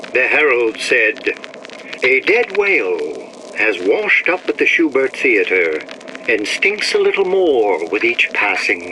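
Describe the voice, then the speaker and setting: Swooping, pompous theatrical artist. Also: male voice, a little high, sharp tone, exaggerated voice. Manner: florid, grandiloquent, drawn-out theatrical delivery. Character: pompous theatrical male artist, vain and malicious. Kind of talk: audio diary monologue in a video game. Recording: noisy vintage audio-diary recording.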